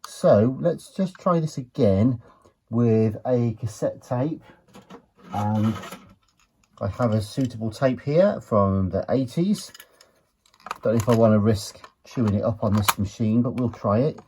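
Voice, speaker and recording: A man giving an unhurried, low-key, matter-of-fact commentary as he works on a gadget, muttering to himself at times. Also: mellow, a little hiss or hum. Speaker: retro electronics hobbyist presenter. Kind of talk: casual hands-on teardown commentary.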